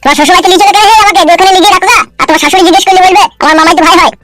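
A man's voice: very high voice, very fast. A man voicing a homeless, self-pitying, foul-mouthed village man in a comedy cartoon, whining and ranting in a rural West Bengal accent. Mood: aggrieved. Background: music.

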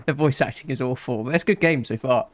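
A man's voice: medium pitch, quick pace. A British man in his thirties giving casual let's play commentary and reading out dispatcher lines. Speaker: gaming video host. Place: small indoor room.

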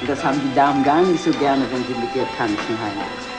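A man's voice: mid-range voice, fast talker, lightly acted. An actor playing a man who teases his old friend in a familiar way, in a 1960s German TV drama. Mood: teasing. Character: familiar, teasing male friend of the director. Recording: old TV soundtrack, loud music behind.

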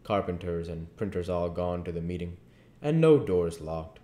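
A man's voice: low-pitched, expressive, normal speed, warm tone. A man in his thirties reading a novel aloud as narrator, recorded at home in an echoey living room. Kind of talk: audiobook-style story reading.

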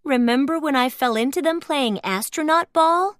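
Woman voicing an eager, high-voiced toy dragon. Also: normal speed, fairly bright tone, no echo, clean studio sound. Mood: puzzled. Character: friendly, clumsy toy dragon. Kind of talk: children's storybook character reading.

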